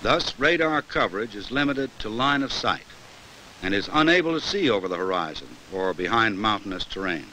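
An American man narrating a 1960s educational film in a measured, formal, explanatory way from a script. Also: fairly low pitch, sing-song, sharp tone, low-quality recording. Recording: hissy old 1960s film soundtrack.

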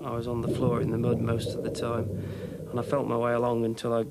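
A man in his thirties recounts his experience in an interview. His voice is even and very gravelly, with clear noise behind it. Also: medium pitch, fast. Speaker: cave diver, interviewee. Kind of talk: interview recollection.

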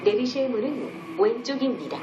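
A woman giving a formal, measured, courteous scripted subway announcement. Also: expressive, dull audio. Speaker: train announcer. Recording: echoey, loud train rumble. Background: moving train noise.

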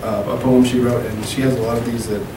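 A man in his thirties reading poems at an open mic into a handheld mic, slow and deliberate, with casual asides between poems. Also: mid-range voice, flat delivery. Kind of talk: poetry reading. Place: small room at a podium. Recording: echoey room, camera from far off, hum.

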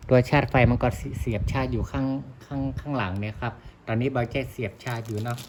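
A man presents gear in a casual vlog style, with a mid-pitched voice and some ambient noise behind him. He rambles along unscripted, speaking directly to viewers and playing down his own expertise. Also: steady tone, fast talker, darkish tone. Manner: rambling, self-deprecating, often addresses viewers. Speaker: vlogger demonstrating motorcycle gear. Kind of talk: casual unscripted product demonstration. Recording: clear ambient background noise.

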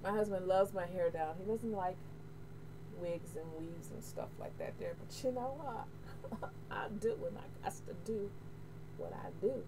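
A middle-aged American woman chatting casually to camera, candid and expressive, repeating phrases for emphasis. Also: mid-range voice, a little slow. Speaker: hair vlogger. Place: small room at home, indoors. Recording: noisy home webcam audio.

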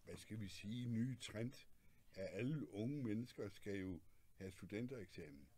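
An older man in a casual political conversation, calm and agreeable, with hesitant fillers and many short assents. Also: fairly low pitch, breathy edge. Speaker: candidate and guest. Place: clothing shop, indoors.

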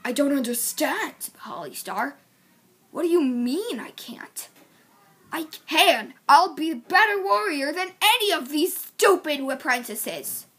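A woman's voice: sits high, animated, unhurried, fairly bright tone, voice pushed up. A woman voicing an arrogant adolescent male apprentice warrior cat, boastful and scornful. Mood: arrogant, defiant.

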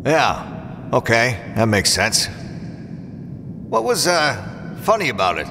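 An actor playing a hot-headed, suspicious mercenary robot pilot in an audio drama, blunt and impatient. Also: male voice, wildly swooping pitch, takes it slowly, exaggerated voice. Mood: wary, irritated. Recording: long reverb, rumbling vehicle noise behind.

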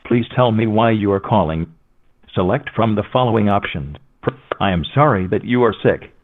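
A synthesized male text-to-speech voice reading automated phone menu prompts in a deadpan robotic manner. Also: on the low side, moderate pace, breathy edge. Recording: tinny narrow phone line audio.

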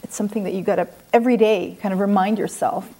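Mellow-voiced woman from Iran, thoughtful and halting. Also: mid-range voice, steady tone. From Iran, raised in Sweden. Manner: halting, thoughtful, pausing between phrases. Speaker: interview guest, children's author and former academic. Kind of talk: unscripted interview answers. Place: quiet room in a house.

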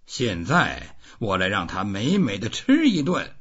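A stubborn old fisherman, acted out by a male reader, very husky and wildly varied in pitch. He coaxes and mutters emphatically to himself, as in a storybook. The recording is a bit dull and muffled. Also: wildly swooping pitch, measured pace, fairly bright tone, heavily acted. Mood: tense, eager. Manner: emphatic, coaxing, talking to himself. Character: old Cuban fisherman, stubborn, coaxing a great fish.

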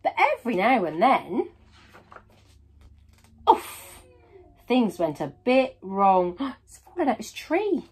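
Female storybook reader: expressive, highly animated, slightly high voice, emphatic on key words. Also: takes it slowly, long pauses, slightly rough. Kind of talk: children's picture book read-aloud.

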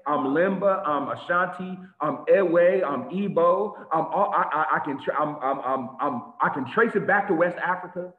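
Guy preaching on a video call, fired up, keeps repeating himself, kinda high voice, thin phone-ish sound with echo. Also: normal speed, hardly any pauses. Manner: animated preaching with repetitions and shouts. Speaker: teacher of a congregation. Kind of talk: sermon over slides. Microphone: video call webcam. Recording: tinny phone-like echoey audio.

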